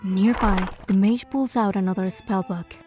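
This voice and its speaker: A woman's voice: mid-range voice, fairly even intonation, normal speed, music in the background, lightly acted. A woman voicing a composed, playful young noblewoman who narrates her fantasy game adventure in a fan dub. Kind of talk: first-person scripted narration and dialogue, fan dub.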